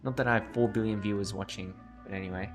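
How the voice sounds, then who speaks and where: A man's voice: fairly low pitch, fairly even intonation, quick pace, music in the background. A man live streaming, giving casual reaction commentary and talking to his chat.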